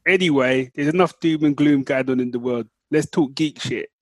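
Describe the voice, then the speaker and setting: A man's voice: mid-range voice, normal speed, no room sound. A British man co-hosting a podcast in casual, playful, slangy and teasing banter. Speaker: podcast co-host.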